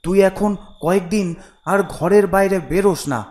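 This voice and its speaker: A man's voice: sits high, measured pace, darkish tone. A man voicing an anxious, timid village father in a horror cartoon, with a worried, pleading and cautious manner. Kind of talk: animated horror cartoon acting.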